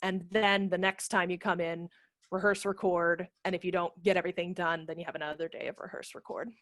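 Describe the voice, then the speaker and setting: A woman in her thirties giving informal practical instruction on a video call, explaining with quick asides. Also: fairly low pitch, level tone, dry recording. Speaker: theatre director and workshop presenter. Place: home room with brick wall, video call. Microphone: headset microphone.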